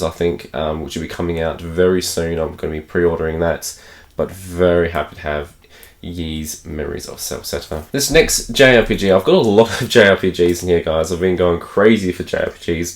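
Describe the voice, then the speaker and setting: An Australian man with a croaky voice from a cold, chatting enthusiastically to camera about games he picked up and laughing often. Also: deep voice. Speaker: gaming channel host. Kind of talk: casual unboxing chat to camera.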